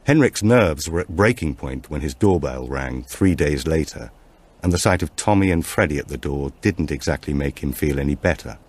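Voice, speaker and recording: A man's voice: rumbling low, animated, moderate pace, darkish tone, breathy edge. A man reading a supernatural thriller aloud as a scripted audiobook narration.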